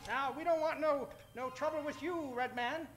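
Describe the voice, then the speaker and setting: Very high, nervous frontier doctor. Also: male voice, normal speed, fairly bright tone, soft background music. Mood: nervous, folksy. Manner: folksy, stammering. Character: folksy, nervous, hard-drinking Old West town doctor.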